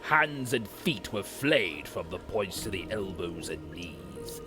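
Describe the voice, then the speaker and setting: An actor playing a domineering male pastor who speaks in a grave, commanding and threatening way, with a gravelly voice. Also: sits low, sing-song, slow pace, over music, exaggerated voice. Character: domineering male pastor of a grim city chapel.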